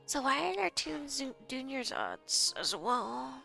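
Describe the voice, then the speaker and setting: A woman's voice: high voice, big pitch swings, slow pace, vocal fry, bright, forceful tone, soft background music. A woman streamer making casual, playful, jokey comments while playing a video game.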